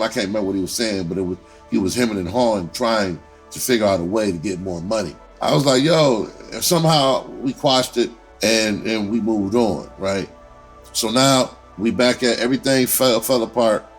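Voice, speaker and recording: A man telling a personal story in casual, profane slang, repeating himself and acting out conversations as he goes. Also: fairly low pitch. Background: music under the voice.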